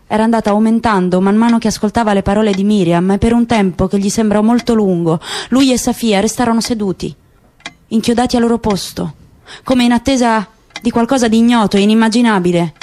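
A woman narrating a literary work on the radio in a measured, expressive way. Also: fairly high pitch, slight background noise. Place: radio studio.

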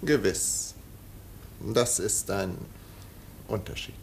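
An actor playing a suave, haughty English lord in a 1950s radio play, speaking with aristocratic condescension and deliberate hesitations. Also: male voice, lowish voice, animated, vocal fry, a little mellow, slight background noise, exaggerated voice. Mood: amused, condescending. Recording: vintage 1950 radio recording.

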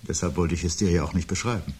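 An actor playing a brooding, pedantic husband gripped by foreboding, in measured, formal, husky radio-play speech. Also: male voice, low-pitched, dark tone. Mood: brooding unease. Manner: measured, formal, portentous diction. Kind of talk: 1970s German radio play acting.

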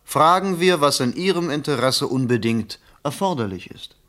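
A man plays a suave, cynical newspaper reporter in a 1970s German radio drama. He speaks in a mid-pitched voice with measured, persuasive eloquence. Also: expressive, fairly bright tone, natural voice. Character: smooth, cynical newspaper reporter coaching a politician.

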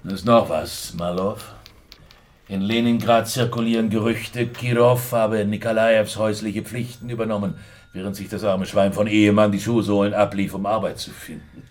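An actor playing a matter-of-fact, dry Soviet secret police colleague in a radio crime drama. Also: male voice, on the low side, steady tone, moderate pace, a little mellow, natural voice. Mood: matter-of-fact, gossipy.

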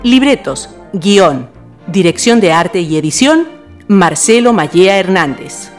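A woman's voice: lowish voice, very animated, takes it slowly, faint music behind. A woman with a Chilean accent giving scripted narration for a radio drama.

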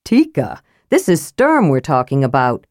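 A woman doing a gentle, good-natured big warrior. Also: very deep voice, wildly swooping pitch, measured pace, no echo, studio quality, pitched below the performer's own voice, heavily acted. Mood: earnest.

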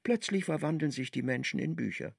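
A man narrating a literary radio play in a measured, vivid storytelling manner. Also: on the high side, expressive, vocal fry, over loud music.

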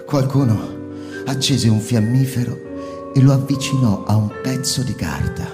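A man's voice: mid-range voice, natural voice. A man playing a shaken bomb survivor. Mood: hushed. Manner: urgent, near-shouted remembering, then hushed and slow. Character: shaken male survivor of a city bombing. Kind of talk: radio drama narration. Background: music.

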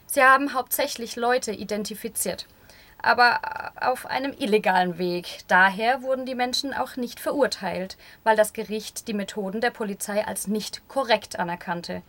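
A woman's voice: on the high side, moderate pace, a bit bright. A woman speaking as an activist interviewee, giving explanatory answers in an interview.

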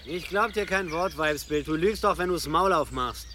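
A man's voice: on the high side, moderate pace, pushed and bright, slight background noise. An actor playing a bitter, vengeful man with a hostile, accusing manner in an audio drama. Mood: bitter, threatening. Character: bitter, vengeful young man challenging a warrior woman.

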